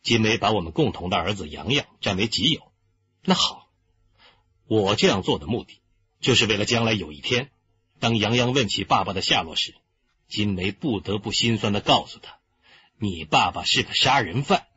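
An actor playing a young, arrogant, unrepentant killer confessing calmly in a radio crime drama. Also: male voice, on the low side, breathy edge, muffled recording, heavily acted. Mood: bitter, sardonic. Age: young adult. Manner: unrepentant, coldly deliberate.

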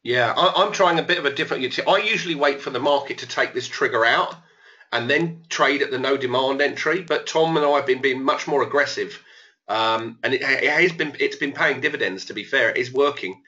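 A man's voice: mid-range voice, brisk, sharp tone, muffled recording. A man who is losing his voice a bit, casually talking through live trades as the host of an online trading room. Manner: casual, thinking aloud. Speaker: trading educator.

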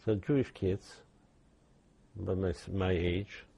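An older man, giving halting oral history testimony with many restarts. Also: low-pitched, steady tone, vocal fry, mellow, husky. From Poland. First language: Yiddish. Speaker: interviewee.

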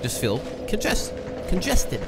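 A man's voice: high voice, wildly swooping pitch, measured pace, gravelly. A man with a congested, nasal voice giving casual commentary while he plays a game, chatting to himself and reacting aloud. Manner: chatty, self-talking, reacts aloud to gameplay. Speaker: gaming video host. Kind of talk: casual let's-play commentary. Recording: loud game music behind voice.

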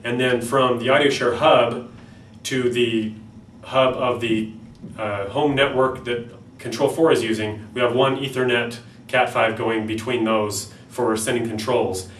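A man in his thirties with a North American accent, giving an explanatory product demonstration in a somewhat halting way. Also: mid-range voice, steady tone, a bit bright. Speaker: company product presenter. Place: small plain-walled room indoors. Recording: roomy, distant mic, faint background noise.

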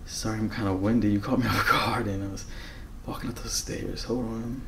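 An American man in his thirties doing a casual ASMR roleplay as a friendly, flustered rental host, soft-spoken, halting and apologetic. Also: lowish voice, fairly even intonation, a little hiss or hum. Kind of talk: ASMR roleplay, casual one-sided conversation. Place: bedroom, indoors.

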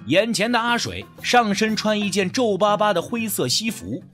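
A man's voice: high-pitched, big pitch swings, normal speed, a bit bright, soft background music. A man narrating a first-person ghost story as a dramatic, scripted audiobook.

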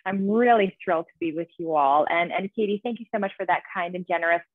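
A woman's voice: lowish voice, fast talker, no echo. A woman in her thirties, originally from Iran, talks fluently and earnestly about her work and often restarts her phrases. She is on a video call from a small room. The sound is dry and thin, like a phone line. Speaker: author guest. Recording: tinny dry call audio.

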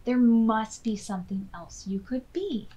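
A woman's voice: a little high, unhurried, dark tone, lightly acted. A woman voicing a fretful, bossy young male storybook character in an emphatic, dramatic way. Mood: urgent, persuasive.

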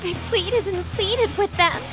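A demure young noblewoman in a game drama. Her voice is high, soft and earnest, with a pleading edge. Music plays faintly behind her. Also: takes it slowly. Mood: sorrowful, earnest. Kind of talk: dramatic video game voice acting.

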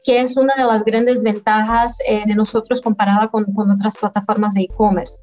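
A woman in her thirties, a guest affiliate manager, answering interview questions in a measured, halting way over a webcam video call. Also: mid-range voice, level tone, darkish tone, faint music behind. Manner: measured, halting delivery with frequent pauses. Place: indoor room at home, by video call.

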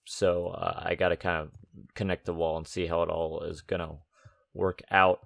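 A man with a slightly raspy voice, recovering from losing it, giving casual, unscripted gaming commentary as he thinks aloud. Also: deep voice, flat delivery, moderate pace, a bit bright, no room sound, studio quality. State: recovering from a lost voice. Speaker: host of a gaming let's-play series. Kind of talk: casual game build commentary.

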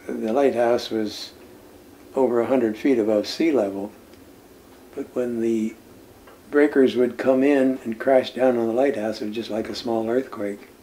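An older man, a former lighthouse keeper, recounts his service in an unhurried interview, pausing often with "uh" and soft chuckles. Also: mid-range voice, slight background noise, poor audio. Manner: unhurried storytelling with many "uh" pauses and soft chuckles. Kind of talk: reminiscing interview answers. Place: indoor room lined with nautical memorabilia. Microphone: seated interview, camera at medium distance.

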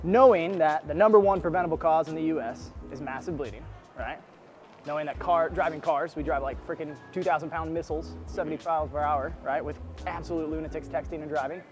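High-voiced man coaching in a mall. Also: a little fast, soft background music. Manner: energetic, coaching, keeps checking with "right?". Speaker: first aid instructor. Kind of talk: street demonstration teaching. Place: indoor shopping mall.

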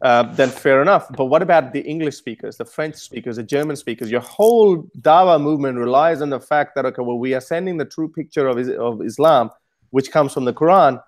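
A man's voice: medium pitch, fairly quick. A man in his thirties whose first language is Urdu presses a heated argument. He stammers and repeats words as he talks. He speaks into an earphone mic in a home kitchen. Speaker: host. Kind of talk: debate over video call.

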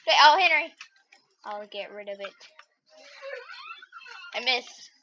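A giggly, excitable gamer chatting casually while playing with a friend. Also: medium pitch, takes it slowly. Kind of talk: casual gameplay chat. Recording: muffled mic with background noise.

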